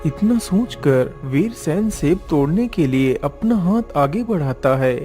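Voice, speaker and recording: Lively male storyteller over music. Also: on the high side, measured pace. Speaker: storyteller narrator. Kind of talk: scripted narration of a children's moral story.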